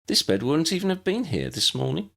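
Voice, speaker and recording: A man's voice: on the high side, sing-song, fairly quick, creaky, fairly bright tone, voice pushed up, full character voice. A man voicing a friendly, helpful young schoolgirl witch in an audiobook, chatty and reassuring as she explains things. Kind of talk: audiobook character voice.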